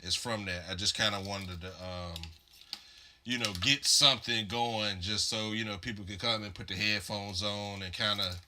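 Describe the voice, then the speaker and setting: A man talks in a loose, rambling way, full of fillers and "man." His voice sits fairly low but sounds very bright, with a faint noise under it. He sounds a little stuffed up, like someone getting over a cold. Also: steady tone, normal speed. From Virginia, USA. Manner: laid-back, rambling, many fillers and "man". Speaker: livestream host building modular synth patches. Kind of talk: casual live-stream chat.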